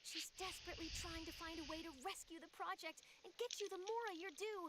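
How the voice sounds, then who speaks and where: A woman's voice: very high-pitched, fast talker. A woman playing an earnest, chirpy young female news reporter in an anime-style video game. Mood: apologetic, concerned. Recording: noisy game stream capture.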